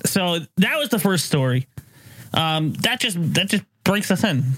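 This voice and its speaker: A man's voice: high-pitched, big pitch swings, a little slow, fairly bright tone, dry recording, rough recording. A man hosting a podcast in casual chat, telling stories in a loose, profane way. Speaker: podcast host.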